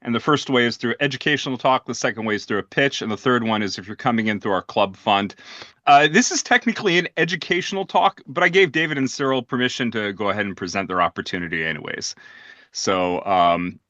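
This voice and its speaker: A man's voice: mid-range voice, animated, fast talker, pushed and bright, no echo, dull audio. A man hosting a club meeting over a video call, explaining the rules in a hesitant way with many fillers. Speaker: club host. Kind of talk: meeting moderation.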